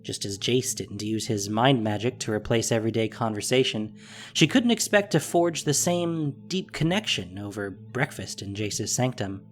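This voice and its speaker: A narrator reading a scripted fantasy audio drama in an expressive, deliberate storytelling manner. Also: medium pitch, soft background music.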